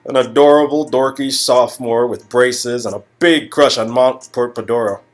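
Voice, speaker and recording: A man's voice: medium pitch, fairly even intonation, normal speed, faint music behind, close to an everyday voice. A man playing a cocky, joking young Hollywood talent agent in a hammy, improvised comedic dialogue. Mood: boastful, teasing. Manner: joking, hammy, repeats phrases for effect.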